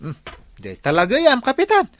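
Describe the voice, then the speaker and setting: A man's voice: very high voice, huge pitch swings, moderate pace, a bit bright, a little hiss or hum, full character voice. A man with an Ilocano accent playing an evasive, mock-innocent villager in a comedy radio drama, stalling with squealed protests. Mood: nervous, stalling.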